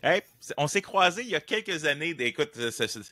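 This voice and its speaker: A man's voice: on the high side, animated, brisk, sharp tone, no room sound, studio quality. A middle-aged man hosting a podcast interview, joking and laughing often. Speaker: podcast host. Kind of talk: casual interview chat.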